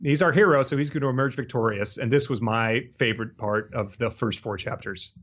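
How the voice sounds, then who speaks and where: A man co-hosting a casual, humorous book discussion, talking in a halting, rambling and amused way. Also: mid-range voice, fairly bright tone. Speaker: podcast co-host. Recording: dull lo-fi audio.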